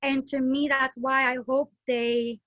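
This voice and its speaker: A woman with a high voice hosts a casual podcast chat, lively and quick to jump in. The sound is dry and a little dull, with no room around it. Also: no echo. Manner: lively, chatty, quick to chime in. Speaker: podcast host.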